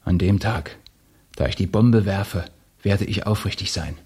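An actor playing an idealistic young revolutionary, a candid and earnest former student, in a German radio drama. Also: male voice, sits low, measured pace, darkish tone, slight background noise. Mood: fervently earnest.

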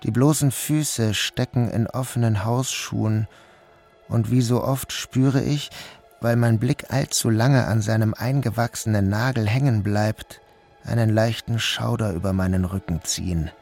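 An actor narrating as a guilt-ridden young man in a literary radio play, in a subdued, measured way. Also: on the low side, fairly even intonation, creaky, darkish tone, faint music behind.

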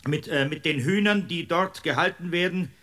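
An actor playing an irascible, impatient village-court judge with a Bavarian accent in a radio drama, blustering and sarcastic. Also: male voice, a little high, measured pace, fairly bright tone. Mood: sarcastic, stern. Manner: blustering, sarcastic, barking orders. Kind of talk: German radio drama acting.

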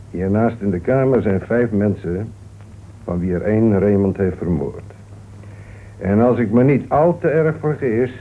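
Low-voiced police inspector, vintage radio. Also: male voice. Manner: polite, musing, punctuated with small interjections. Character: police inspector, courteous, methodical, calm man. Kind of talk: Dutch radio play acting. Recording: old radio recording, narrow tinny band, hiss.

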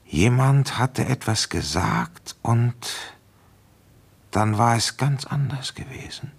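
An actor playing a weary, shrewd police inspector in a German radio play, brooding and speaking deliberately as he reasons things through. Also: mid-range voice, lively intonation, vocal fry, slightly rough, full character voice. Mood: brooding, reflective. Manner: deliberate, reflective, halting while thinking aloud. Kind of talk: German radio play acting, first-person narration and dialogue.

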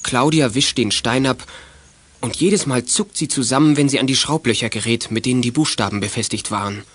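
A man narrating a German children's detective audio drama as a calm, scripted storyteller. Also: medium pitch, a little fast, husky, dry recording. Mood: involved.

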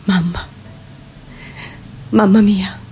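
A woman's voice: mid-range voice, steady tone, extremely slow, a little hiss or hum. A woman with a Roman accent reciting a dramatic verse monologue in dialect, intense and theatrical. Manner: intense, theatrical, swinging from whispers to shouts. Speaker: reciting performer in a radio theatre show.

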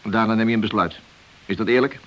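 An actor playing a wily senior police detective in a radio play, leisurely and needling behind a friendly front. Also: male voice, lowish voice, fast, fairly bright tone, lo-fi sound, lightly acted. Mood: calm, commanding. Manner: slyly affable, leisurely, needling. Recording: hissy old radio play recording.

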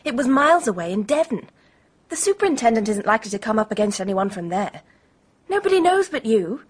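Young British lady, evasive, defensive, high pitch, breathy edge, lively intonation, radio drama. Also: brisk. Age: young adult.

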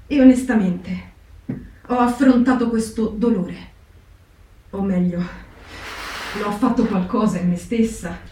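A woman playing a humble, reflective young diarist who has known despair, in a theatre reading with deliberate pauses. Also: a little high, dark tone. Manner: deliberate, pausing phrasing. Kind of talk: slow theatrical diary reading. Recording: echoey stage, slightly distant microphone.

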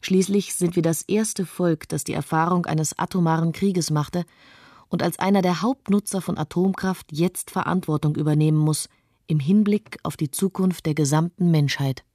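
A woman's voice: on the low side, fairly even intonation, normal speed, mellow, no room sound, clean studio sound, lightly acted. A woman voicing a philosophical, reflective witness in a scripted documentary radio play. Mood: contemplative.

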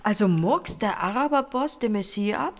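An actress playing a quick-witted detective's secretary who puts sceptical, teasing questions in radio crime drama dialogue. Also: female voice, mid-range voice, animated, natural voice.

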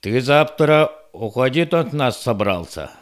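Male actor as a proud father, slow delivery, swooping intonation, declamatory, verse radio play. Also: medium pitch, animated, slight background noise, natural voice. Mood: solemn, persuasive. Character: proud native father offering his daughter in marriage. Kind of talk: verse audio drama acting.

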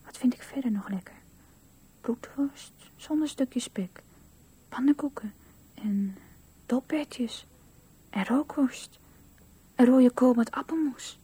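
A woman's voice: high-pitched, slow pace, pauses often, dark tone, husky, heavily acted. An actress playing a naive, candid little girl in a radio drama, speaking in an artless, childlike way. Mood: dreamy listing.

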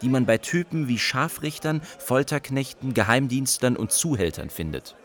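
An actor playing a scornful refugee who explains things dryly in radio drama narration. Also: male voice, mid-range voice, animated, normal speed, natural voice. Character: male refugee commenting scornfully, dryly on his journey.

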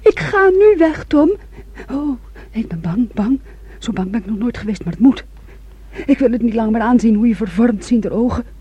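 Woman acting intense young woman, high and mellow. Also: expressive, normal speed, lightly acted. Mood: afraid, desperate. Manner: hushed, intimate, often dropping to a whisper. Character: intense, wounded young woman, weary and desperate. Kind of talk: Dutch radio play acting. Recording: dull, muffled old radio recording.

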